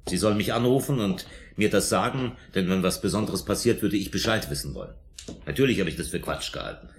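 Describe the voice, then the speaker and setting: A man's voice: low-pitched, expressive, fairly quick, gravelly, slight background noise. A man acting in a German radio drama as a defensive, indignant suspect under police questioning, emphatic and self-justifying. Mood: exasperated.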